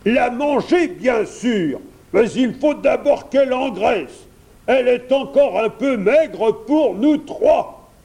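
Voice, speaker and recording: A man's voice: extremely high pitch, lively intonation, slow pace, creaky, warm tone, voice pushed up, full character voice. A man voicing a grumpy, bored, princess-eating dragon in a children's storybook, roaring and complaining.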